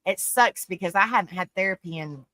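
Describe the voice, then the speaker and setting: Woman host, chatty and folksy, bright tone, very dry sound. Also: lowish voice, a little fast, dry recording. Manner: folksy, repeats phrases, says "bless your heart, honey". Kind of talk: casual live-stream chat.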